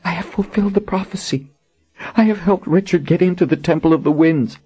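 A man's voice: sits high, a little fast, warm tone, slightly rough, voice pushed up. A man playing an anguished young queen. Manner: anguished, pleading. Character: grieving young queen, desperate then resolute. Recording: dull lo-fi audiobook recording.

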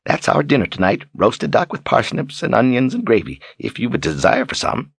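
A man's voice: medium pitch, sing-song, normal speed, vocal fry, husky, no room sound, voice pushed up, full character voice. Man acting female innkeeper, audiobook fantasy, raised voice, dry close sound, homely, obliging. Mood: pleased, flattered. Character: friendly, flattered female innkeeper.

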